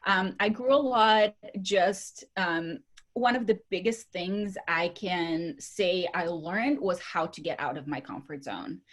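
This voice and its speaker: Woman, Bulgarian accent, mid-pitched voice, interview guest, conversational storytelling, outgoing and chatty. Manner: chatty, outgoing, often laughing.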